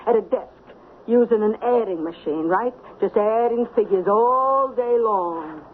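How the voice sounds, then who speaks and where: A thin, phone-like old radio broadcast carries an actress as a sharp-witted, blunt American woman. She is streetwise and bossy, throwing out slangy, emphatic commands over faint noise in a mid-pitched voice. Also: measured pace, close to an everyday voice. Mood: ominous. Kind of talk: American old-time radio drama acting. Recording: tinny, noisy 1970s radio broadcast.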